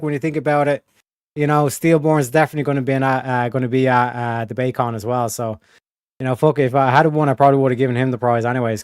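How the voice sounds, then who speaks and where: A man's voice: mid-range voice, darkish tone, smooth, no echo, clean studio sound. A man hosting a late-night livestream, chatting casually to his viewers in a rambling, slangy, profane way, full of fillers. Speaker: livestream host.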